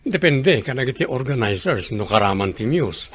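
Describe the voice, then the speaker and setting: A man's voice: mid-range voice, sing-song, moderate pace. A man playing a proud, supportive middle-aged father in a Filipino radio drama, with a reassuring manner.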